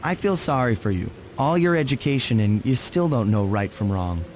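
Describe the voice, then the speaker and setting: An actor playing a cocky, smooth young master thief in a video game, wry, self-assured and easygoing. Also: male voice, medium pitch, expressive, moderate pace, slight background noise, natural voice. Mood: defiant. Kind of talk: video game acting and storybook-like narration. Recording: very loud music behind.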